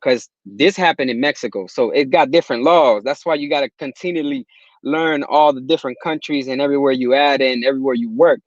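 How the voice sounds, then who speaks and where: A young man from Puerto Rico, a guest in a casual interview, talking in relaxed slang with many restarts. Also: mid-range voice, a little fast, no echo, dull audio. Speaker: guest, music distributor.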